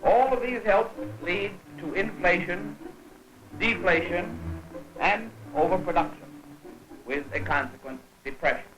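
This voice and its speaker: High-pitched, slow mock-lecturing man. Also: music in the background, poor audio.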